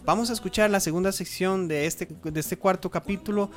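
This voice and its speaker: Earnest higher-pitched male radio host. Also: soft background music. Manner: earnest, emphatic, halting phrasing. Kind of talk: informal radio commentary reading news.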